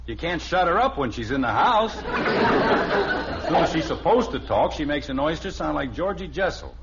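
Grumbling radio-sitcom dad, lively swings. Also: male voice, a little high, moderate pace, over a noisy crowd. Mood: impatient, exasperated. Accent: American. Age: middle-aged. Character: grumbling, soft-hearted middle-aged family man, a father. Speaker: actor. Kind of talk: old-time radio family comedy acting. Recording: dull, noisy old radio recording.

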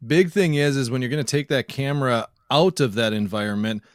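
A man's voice: medium pitch, a little fast, clear, resonant tone, no echo, studio quality. A man co-hosting a podcast in casual chat, joking and often laughing as he talks. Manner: jokey, laughs often while talking. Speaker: podcast co-host.

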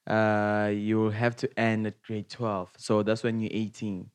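A man's voice: on the low side, completely flat delivery, a little slow, clear, resonant tone. Laid-back young South African man. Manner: laid-back, slangy, rambling with fillers. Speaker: guest. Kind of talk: casual interview chat.

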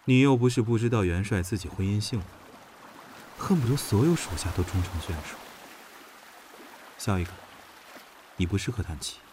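A man's voice: lowish voice, expressive, moderate pace, pauses often, noisy background, close to an everyday voice. A man acting a teasing, clingy young male officer in an audio drama, coaxing his comrade playfully. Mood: light teasing.

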